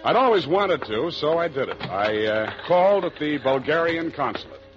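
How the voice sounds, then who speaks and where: An actor playing a cocky, wisecracking private detective with a dry, sardonic delivery in a 1940s radio drama. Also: male voice, on the high side, big pitch swings, moderate pace. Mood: dry, narrating. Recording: muffled old radio broadcast recording with music.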